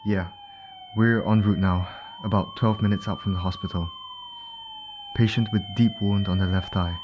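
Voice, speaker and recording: Guy playing a caring army-style field medic, deepish voice, reassuring asmr roleplay, noisy siren ambience behind. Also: fairly even intonation, normal speed, dull audio, close to an everyday voice. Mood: brisk, worried. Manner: reassuring, talking directly to an injured patient. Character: male field medic, calm, caring, urgent under pressure.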